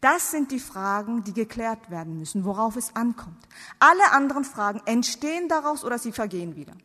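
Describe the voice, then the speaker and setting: A woman speaking German as a non-native language delivers a theological sermon. Her voice sits fairly high, and she speaks emphatically, posing rhetorical questions. The sound carries the long reverb of a large hall. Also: lively intonation, brisk, bright, forceful tone. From outside Germany. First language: not German. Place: large reverberant hall before seated audience.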